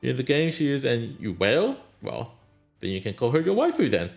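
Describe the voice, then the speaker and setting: A man's voice: medium pitch, wildly swooping pitch, normal speed, vocal fry, soft background music. A man streaming a mobile game, chatting casually and answering his chat in a rambling way. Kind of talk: casual live-stream chat while gaming.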